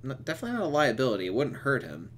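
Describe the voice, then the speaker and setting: A man's voice: medium pitch, very animated, very fast, a crowd in the background. A man hosting a casual fan discussion, informal and agreeable with some teasing.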